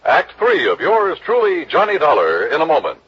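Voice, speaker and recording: A man's voice: fairly high pitch, wildly swooping pitch, normal speed. Dramatic old-time radio announcer man. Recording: muffled old radio broadcast with loud hiss.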